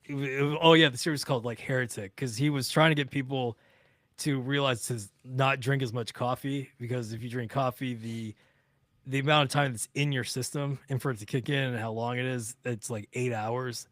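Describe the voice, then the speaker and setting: A man's voice: medium pitch, fairly even intonation, fairly quick, a bit bright, no room sound. Rambling man in a dry studio. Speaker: talk show co-host. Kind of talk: casual studio chat.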